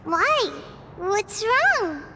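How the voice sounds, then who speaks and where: A small, childlike goddess from a fantasy game. Her girlish voice sits very high and moves slowly but with lively melody. She sounds gentle, earnest and caring. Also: noisy background, heavily acted. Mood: curious. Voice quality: light, girlish. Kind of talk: scripted fantasy game voice acting. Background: music.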